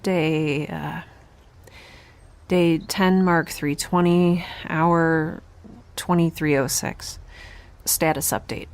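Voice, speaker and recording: A woman playing an exhausted, injured but determined young scientist in an audio drama, speaking in a halting, wry way that trails off mid-thought. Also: low voice, steady tone. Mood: weary, businesslike. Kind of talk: audio drama log monologue and dialogue.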